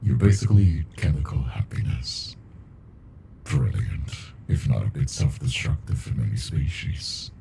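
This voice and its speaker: An ancient, weary alien monster murmurs in an extremely low, dark voice with a gravelly edge. He speaks slowly and deliberately, brooding and condescending yet intimate, as in an ASMR roleplay. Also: sing-song, slight background noise, heavily acted. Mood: fascinated, amused. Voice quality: very deep, gravelly, breathy murmur. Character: ancient, weary, captive male alien monster, aloof but fascinated. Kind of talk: ASMR character roleplay monologue.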